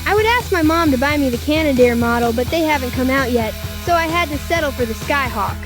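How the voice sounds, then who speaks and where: An earnest kid club leader voiced by a woman, proud and eager to explain. The cartoon-dub voice is extremely high, with music clearly behind it. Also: expressive, quick pace. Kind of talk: amateur comic dub acting. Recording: music under the voice.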